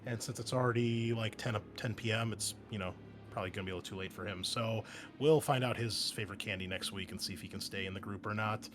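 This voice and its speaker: A man's voice: fairly low pitch, fairly even intonation, fast, a bit bright, soft background music. A man hosting a tabletop game show as game master, chatting casually with joking banter and easy swearing. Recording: noisy background ambience.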